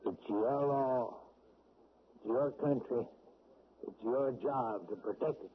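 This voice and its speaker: An actor playing a shrewd old country sheriff. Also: male voice, medium pitch, slow, lightly acted. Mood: stern. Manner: drawling, folksy, probing questions. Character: older rural male sheriff, shrewd and stern. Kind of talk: 1970s radio drama acting. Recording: tinny lo-fi old radio broadcast with faint hiss.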